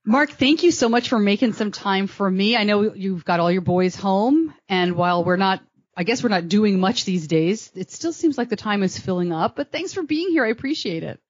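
A woman's voice: moderate pace, no echo, highs cut off. A woman hosting a podcast, reading a sponsor spot and interviewing a guest in an upbeat, laughing manner from a home studio microphone. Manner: upbeat, laughs often, animated pitch swings. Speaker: podcast host.

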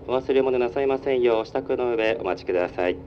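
A man working as a train conductor makes formal, polite onboard announcements over a public address speaker. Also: fast talker. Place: inside a moving train. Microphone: recorded from the carriage speaker. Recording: muffled train PA, rumbling vehicle noise. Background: train running noise.

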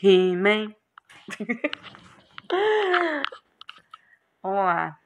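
A woman's voice: medium pitch, fairly even intonation, extremely slow, a little hiss or hum. A woman with a Brazilian accent, hosting a casual gaming let's play and reading aloud as she goes. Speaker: gaming channel host.

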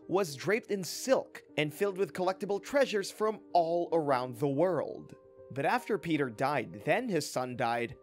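A man giving scripted documentary-style narration for a countdown video, punching up each numbered heading. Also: on the high side. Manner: emphatic on countdown headings, upbeat and even. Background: soft background music.

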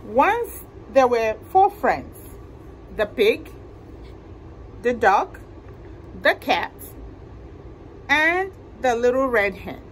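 Slow, high-pitched, animated woman reading aloud. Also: slight background noise, low-quality recording. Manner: slow, deliberate, expressive, asking listeners questions. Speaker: library storytime host. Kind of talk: animated picture-book read-aloud to children. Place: indoor library room with bookshelves.

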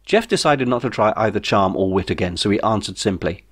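A man's voice: fairly low pitch, lively intonation, fairly quick. A man reading a comic novel as scripted audiobook narration, in a wry storytelling manner. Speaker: author reading own novel.

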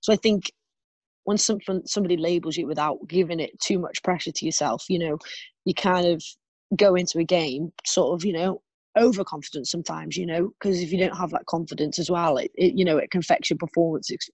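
A woman's voice: fairly low pitch, fairly even intonation, a little fast, darkish tone, slightly rough, dry recording. A woman in her twenties chatting casually as an interviewee, in a rambling way with lots of verbal fillers like "you know". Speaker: interviewee, footballer. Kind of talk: casual chat. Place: remote video call.

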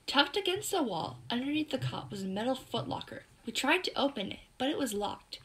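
A woman's voice: high voice, very animated, fairly quick, poor audio. A female performer voicing an eager, bossy, clever young girl who confidently explains things in a scripted audio drama.

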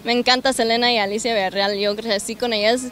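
A woman's voice: on the high side, steady tone, fast talker, a bit bright, slight background noise. A young woman from Los Angeles, a singer, answering interview questions eagerly outdoors in a park, speaking into a handheld mic. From Los Angeles, United States. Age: young adult. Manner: bubbly, eager, slips in English words. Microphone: handheld mic held by interviewer.

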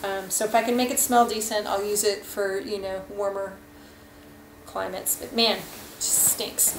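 A woman's voice: mid-range voice, flat delivery, moderate pace, noisy background. A woman in her thirties rambling frankly through a casual gear review at home, full of fillers, recorded on a webcam at her desk. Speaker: hiking vlogger. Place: kitchen at home, indoors. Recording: echoey room, faint ambience.

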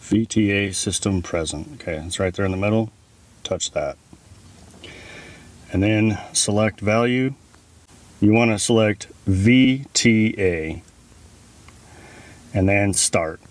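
A man's voice: lowish voice, takes it slowly, pauses often. A man in his thirties giving an informal, step-by-step tech tutorial as the host. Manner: informal, instructional.

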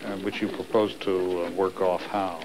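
A man interviewing a student, asking measured, probing questions. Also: sits low, little pitch movement, noisy background. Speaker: documentary interviewer and narrator.

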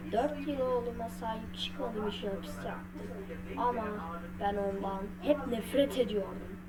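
A woman telling a story to viewers as unscripted commentary, in halting, broken phrases. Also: on the high side, heavy background noise. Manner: halting, broken phrases with repeated filler words. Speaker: video creator narrating a horror theory. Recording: loud music under the voice. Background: loud music.

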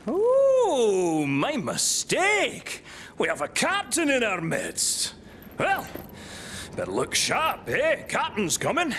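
An actor playing a boisterous, mocking, grizzled old monster hunter in a video game cutscene, with a teasing, theatrical manner. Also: male voice, very high-pitched, wildly swooping pitch, slow pace, a little hiss or hum, exaggerated voice. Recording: loud music behind the voice.

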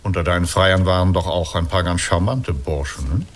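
A crafty old husband in a radio drama. His voice sits fairly low, and he takes his time. He teases with amused, mock-courtly politeness. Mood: teasing. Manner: teasing, unhurried, mock-courtly. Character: wily, amused old Odysseus, teasing grey-bearded husband. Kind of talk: German radio play acting.

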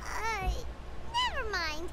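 A woman's voice: extremely high pitch, huge pitch swings, takes it slowly, heavy background noise, exaggerated voice. A woman voicing a tiny, childlike fairy companion in a video game, perky, cute and exaggerated. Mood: worried, cheeky. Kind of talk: animated video game voice acting.

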